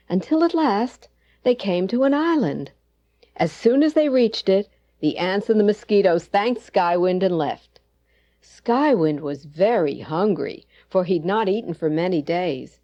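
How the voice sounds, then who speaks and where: A woman narrating a children's bedtime fable with gentle, deliberate, dramatic phrasing. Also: mid-range voice. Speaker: storyteller. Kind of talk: expressive scripted bedtime-story narration. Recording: dull digitized cassette, music behind.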